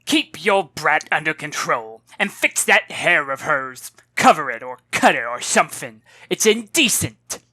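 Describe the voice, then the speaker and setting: A man's voice: on the high side, expressive, measured pace, few pauses, sharp tone, dry recording, heavily acted. A man voicing a stern, snobbish, indignant woman in an audiobook, with a haughty, commanding, emphatic delivery. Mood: haughty indignation. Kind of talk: audiobook character voice.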